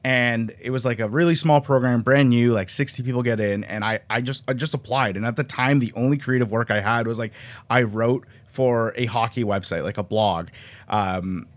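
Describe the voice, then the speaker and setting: A man in his twenties from Canada, a guest on a podcast, talking in a quick, chatty way with lots of fillers. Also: mid-range voice. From Canada, raised near Toronto; born in Colombia. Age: 20s. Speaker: podcast guest, sports cinematographer. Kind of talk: casual interview chat.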